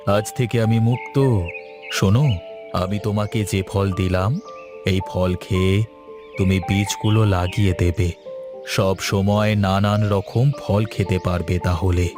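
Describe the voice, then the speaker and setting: A man voicing a grateful magical tree in a fairy-tale cartoon, speaking in a solemn, gentle way. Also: lowish voice, lightly acted. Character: grateful magical talking tree freed from a curse.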